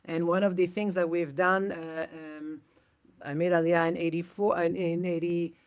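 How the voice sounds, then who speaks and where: A woman's voice: on the low side, moderate pace, clean tone. A middle-aged woman from Belgium who talks casually and tells stories with energy, acting out the people she quotes and often restarting her sentences. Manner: animated, acts out quoted dialogue, frequent restarts. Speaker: activist guest. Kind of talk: conversational storytelling.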